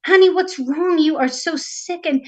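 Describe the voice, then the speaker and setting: A woman's voice: high-pitched, normal speed, pitched above the performer's own voice, lightly acted. A woman voicing a princess's concerned little sister in a fairy tale, earnest and caring. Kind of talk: live storytelling of a fairy tale.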